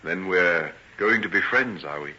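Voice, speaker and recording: An actor playing a tormented, self-sacrificing gentleman doctor in old-time radio drama, speaking in earnest, formal, theatrical phrases. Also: low voice, expressive, measured pace, heavily acted. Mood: solemn, sincere. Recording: dull, noisy old radio recording.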